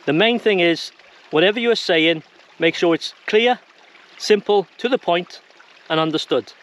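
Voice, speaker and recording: A man's voice: high-pitched, normal speed, a bit bright, a little hiss or hum. A man presenting an informal how-to video to viewers in a plain-spoken, conversational way. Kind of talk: informal instructional talk to viewers.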